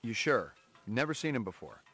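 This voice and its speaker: A man's voice: mid-range voice, big pitch swings, normal speed, fairly bright tone, slightly rough, soft background music. A man voicing a terse police detective in a video game, asking blunt matter-of-fact questions.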